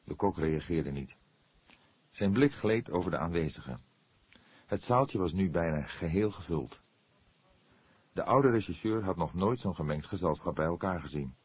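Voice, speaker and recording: A male narrator reads crime fiction for an audiobook. His voice is low and husky, recorded dry and close, with a storytelling delivery. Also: lively intonation, moderate pace, long pauses, no room sound. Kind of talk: scripted narration of a crime novel.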